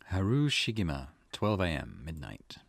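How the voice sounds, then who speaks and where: A man's voice: low-pitched, huge pitch swings, normal speed, vocal fry. A man reading a visual novel aloud for a gaming video, with casual commentary of his own.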